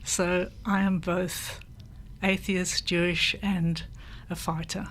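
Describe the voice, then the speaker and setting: An older woman who grew up in South Africa, a panel guest reflecting in a measured way with pauses. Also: on the low side, little pitch movement, a bit bright. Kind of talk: personal testimony in a panel discussion.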